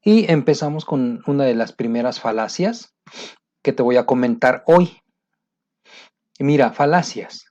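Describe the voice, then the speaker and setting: Middle-aged Latin American man from a teaching video, conversational, plenty of filler pauses, mellow tone, muffled lo-fi sound. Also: mid-range voice. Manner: conversational, pausing often with fillers. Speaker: English teacher. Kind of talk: motivational video lesson. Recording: muffled lo-fi recording.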